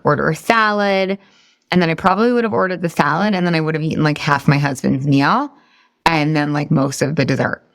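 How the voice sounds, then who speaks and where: A middle-aged woman from the Boston area leads a live weight loss coaching session. She speaks in a fairly low voice, upbeat and direct, and keeps asking her group questions. The recording is dry and close, with no room sound. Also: a bit bright, no echo.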